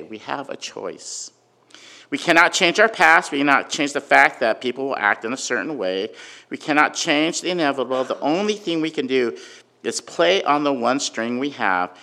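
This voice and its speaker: A man giving an informal sermon with a personal story, talking conversationally and loudly, with many fillers and frequent "right?" check-ins. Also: mid-range voice. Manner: conversational, filler-heavy, asks "right?" often; says he has a really loud voice. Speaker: preacher.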